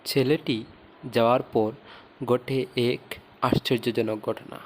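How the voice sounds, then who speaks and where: A man narrates a scripted cartoon moral tale at a slow, measured pace. His voice sits at an average male pitch, with faint noise behind it. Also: fairly even intonation, low-quality recording. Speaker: storyteller, narrator.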